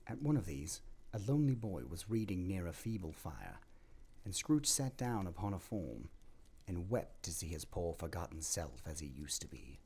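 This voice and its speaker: A man narrating a classic tale for an audio play, with expressive, measured phrasing and dramatic pauses. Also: low-pitched, gravelly, husky. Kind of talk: dramatic scripted storybook narration.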